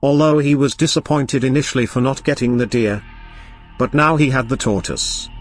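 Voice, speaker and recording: A man's voice: mid-range voice, fairly even intonation, moderate pace, soft background music. A man narrating a scripted children's moral story as storyteller.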